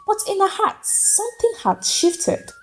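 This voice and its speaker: A woman's voice: very high voice, very animated, measured pace. A woman storyteller reading a dramatic scripted folktale as narrator. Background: faint music.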